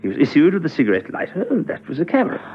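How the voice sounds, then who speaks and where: A man's voice: on the high side, wildly swooping pitch, very fast, husky, full character voice. A man playing a precise, irritable ministry investigator with a fussy manner in a classic spy radio drama. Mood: annoyed, precise. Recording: dull old radio recording, faint noise.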